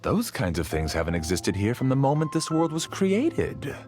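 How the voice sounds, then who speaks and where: An actor playing a grieving scholar villain in a video game, measured and persuasive, calmly justifying himself. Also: male voice, mid-range voice, soft background music. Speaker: researcher.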